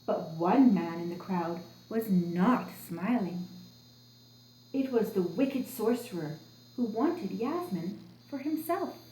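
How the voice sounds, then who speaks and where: A woman telling a children's story as an expressive storybook narrator. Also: mid-range voice, takes it slowly, slight background noise. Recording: roomy, echoing room sound.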